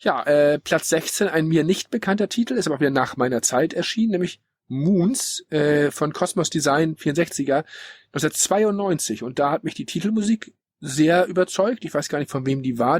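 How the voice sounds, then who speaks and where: A man's voice: a little high, slightly rough, no room sound, clean studio sound. A man hosting a casual podcast, talking in an opinionated, emphatic, colloquial way. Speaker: podcast host presenting a ranking. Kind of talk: casual retro-gaming podcast talk.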